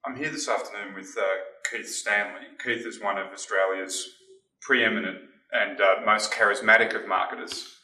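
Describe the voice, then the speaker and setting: Host, low and bright voice, halting delivery, distant mic in a roomy space. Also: male voice, fairly even intonation. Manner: halting, frequent uhs. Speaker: podcast host. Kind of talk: interview questions and introduction.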